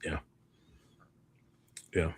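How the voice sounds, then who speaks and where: A man chatting casually as a podcast co-host, halting and thinking aloud as he rambles along. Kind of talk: casual unscripted chat.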